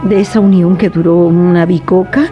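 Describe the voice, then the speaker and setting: A woman's voice: lowish voice, fairly even intonation, moderate pace, close to an everyday voice. An actress playing a worried, disapproving grandmother, in a theatrical, indignant radio drama style over music. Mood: worried indignation.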